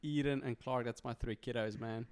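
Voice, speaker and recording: A man's voice: mid-range voice, fairly even intonation, normal speed, darkish tone, clean tone. A man in his thirties from South Africa, with a South African accent, whose first language is Afrikaans, chatting as a podcast guest in a friendly, talkative way. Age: 30s. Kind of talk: casual chat.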